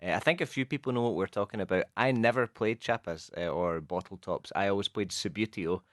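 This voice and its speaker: A man with a fairly low voice hosts a casual podcast chat. He is chatty, laughs easily and often restarts mid-sentence. The sound is close and dry, with no room echo. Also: a little fast, a bit bright. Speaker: co-host teaching English.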